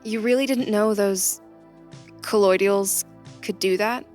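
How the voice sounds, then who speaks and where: A woman's voice: mid-range voice, fairly even intonation, measured pace, a little mellow, faint music behind, close to an everyday voice. A woman playing an earnest, humble, questioning office worker in an audio drama, plain and understated. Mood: earnest, probing.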